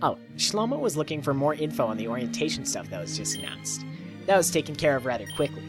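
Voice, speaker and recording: A man's voice: a little high, very animated, quick pace, music in the background. A man voicing a modest, polite but ambitious schoolgirl in a visual novel.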